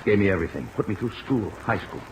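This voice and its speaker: An actor playing a rough, remorseful twenty-seven-year-old drifter in an old radio drama, speaking in a halting, plain-spoken way. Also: male voice, fairly low pitch, expressive, a little mellow, close to an everyday voice. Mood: wistful, regretful. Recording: noisy old radio recording, rain overlaid.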